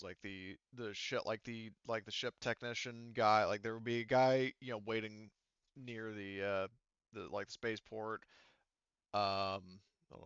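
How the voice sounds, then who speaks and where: A man with a slightly low, smooth voice acts as game master. He narrates casually and haltingly, rambling and hedging as he improvises. The sound is close and dry, a little dulled. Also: fairly bright tone, dry recording, dull audio.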